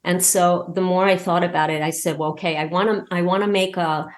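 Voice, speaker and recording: A woman talking casually as an interview guest, excited and halting, often repeating words as she goes. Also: lowish voice. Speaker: podcast guest, audio drama creator.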